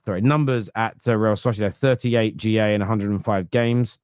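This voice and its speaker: A man hosting a football podcast, casual chat that keeps correcting itself and counting stats out loud. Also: lowish voice, steady tone, smooth, no echo, clean studio sound. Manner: rapid, self-correcting, counts stats aloud. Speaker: podcast host.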